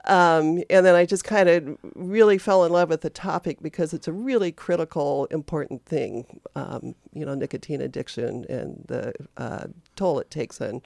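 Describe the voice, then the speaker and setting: Gravelly-voiced woman in her fifties. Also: sits low, darkish tone, dry recording. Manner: chatty, self-deprecating, digressive. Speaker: guest, health promotion specialist. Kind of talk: radio talk show interview.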